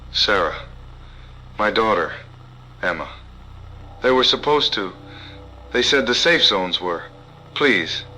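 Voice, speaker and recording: Man acting a desperate father, slow, piercingly sharp voice. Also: mid-range voice, slight background noise, exaggerated voice, low-quality recording. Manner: halting, broken, pleading. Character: desperate grieving father searching for his lost family. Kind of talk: radio audio drama acting.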